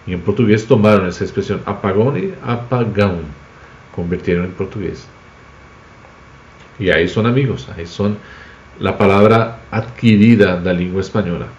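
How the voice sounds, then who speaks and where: Male language teacher, Spanish accent, native Spanish speaker, lowish voice, explanatory and informal, dull livestream sound. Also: reverberant, slight background noise. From Spain, Basque Country. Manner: explanatory, spells out words with examples. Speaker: Spanish teacher, host of a live class. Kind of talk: informal live-streamed language lesson.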